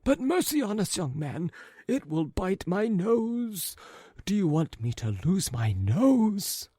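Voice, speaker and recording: A man's voice: high-pitched, big pitch swings, a little slow, no room sound, studio quality, pitched above the performer's own voice, full character voice. A man reading an audiobook, voicing a timid, cowardly man who frets and whines. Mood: fretful. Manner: whiny, fretful complaining. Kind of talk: audiobook character voice.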